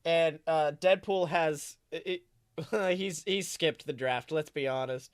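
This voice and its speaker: Male podcast co-host, high voice, dry recording, rambling playful improvised storytelling. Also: slow, no room sound. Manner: rambling, self-correcting, playfully dramatic. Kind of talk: improvised comic storytelling, casual chat.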